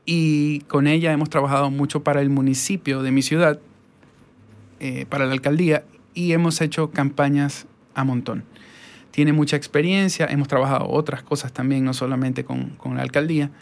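A man from Ecuador speaks with a Guayaquil accent, the S softened into a breath. He hosts a relaxed podcast chat, full of fillers and warm praise for his guest. His voice sits a little higher than most men's, taken on a portable recorder in a small studio. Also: moderate pace. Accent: Guayaquil Spanish. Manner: chatty, enthusiastic introductions with frequent fillers. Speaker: podcast host and voice-over artist. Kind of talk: casual interview podcast.

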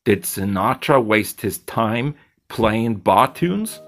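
A man's voice: lowish voice, lively intonation, slow pace, a bit bright, faint music behind, heavily acted. A man voicing a slick, blunt male bartender who talks in a teasing, lecturing way.

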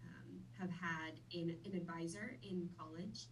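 A lowish, relaxed woman in her twenties talks through her career path in a friendly, unhurried way, full of ums. She sits a little away from the mic. A faint hum runs underneath. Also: recorded from a distance, rough recording. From Vietnam. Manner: friendly, easygoing, many fillers. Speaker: podcast guest. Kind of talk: casual interview chat.

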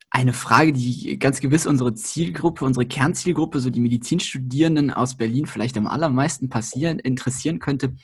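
Husky-voiced male co-host. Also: medium pitch, a little mellow. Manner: friendly, asks long questions. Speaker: podcast co-host. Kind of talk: casual podcast interview.

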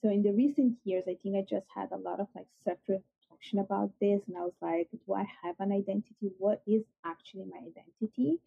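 A woman's voice: medium pitch, steady tone, a little fast, vocal fry, few pauses, breathy edge, no room sound. A young woman with an Italian accent, a guest talking earnestly in an interview with many fillers. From Italy. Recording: tinny phone-like call audio.

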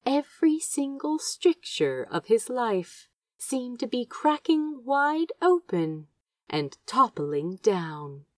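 A woman's voice: fairly high pitch, no room sound, studio quality. A woman reading fiction aloud as an amateur audiobook narrator, with tender, dramatic storytelling. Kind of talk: expressive fan fiction narration.

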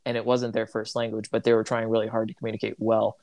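A man's voice: on the low side, completely flat delivery, fast talker, a little mellow, no echo. Reflective man, dry close sound. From Tanzania. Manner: rambling, self-correcting, reflective. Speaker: missionary. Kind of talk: casual conversation.

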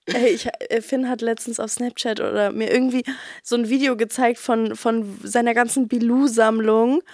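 A woman's voice: a little high, fairly even intonation, normal speed, a little mellow, no room sound. A young woman hosting a casual podcast chat from her bed, teasing and playful, slightly under the weather. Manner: teasing, playful, mixes in English slang. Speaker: podcast host. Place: her bedroom, sitting in bed.

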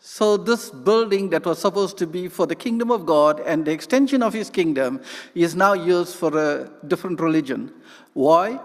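A South African man preaching with fervor, his voice sitting high and stumbling into restarts as he builds a point. The sound carries a long reverb from a big church hall. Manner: passionate, rambling with restarts and laughs. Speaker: guest preacher. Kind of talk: sermon.

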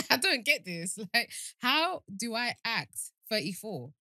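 A young British woman talks casually as a podcast co-host. Her voice is bright and forceful, on the higher side, with very lively pitch movement. She argues her point insistently. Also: slow pace, dry recording, studio quality. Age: young adult. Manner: argumentative, insistent. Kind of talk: casual chat.

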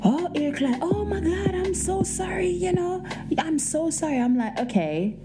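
A woman with a light, soft voice, hosting a podcast and telling a story in casual, playful chat. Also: very high voice, moderate pace, loud music behind the voice. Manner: animated, playful, breaks into singing and laughter. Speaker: podcast host.

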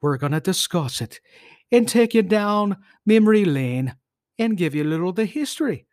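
A man's voice: sits high, very animated, moderate pace, darkish tone, no echo, studio quality. A podcast host with a put-on Irish accent, talking on his own in a playful, theatrical way with self-mocking jokes. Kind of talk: solo podcast monologue with jokey asides.

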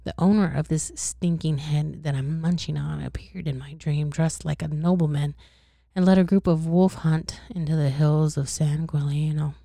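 A woman's voice: deep voice, fairly even intonation, normal speed, creaky, warm tone, no room sound, studio quality. A woman voicing a bitter, tormented count condemned to hell, telling his story in an aggrieved, vulgar, conversational way. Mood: grim recounting. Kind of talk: dramatic audiobook-style reading.

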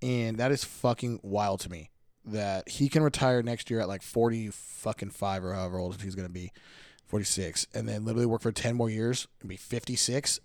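A man's voice: fairly low pitch, fairly quick, darkish tone, dry recording, studio quality. A man co-hosting a podcast, chatting casually about sports in a blunt, laid-back way with plenty of swearing. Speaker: podcast co-host. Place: bedroom. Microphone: studio podcast mic.

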